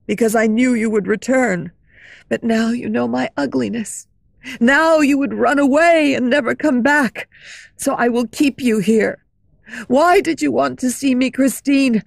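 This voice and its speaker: A woman's voice: a little high, creaky, no echo. A woman doing a raging, tormented masked phantom. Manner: shouting, bitter, dramatic. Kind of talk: audiobook reading.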